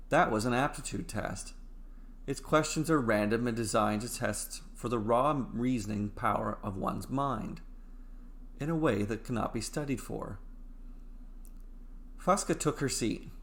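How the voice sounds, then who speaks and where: A man narrating a scripted short story as a storyteller, in a measured way with dramatic pauses. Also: medium pitch, a bit bright.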